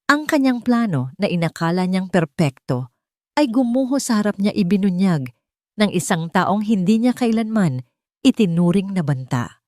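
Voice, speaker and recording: A woman's voice: mid-range voice, very animated, moderate pace, warm tone, dry recording, studio quality. A woman reading a dramatic story as scripted narration.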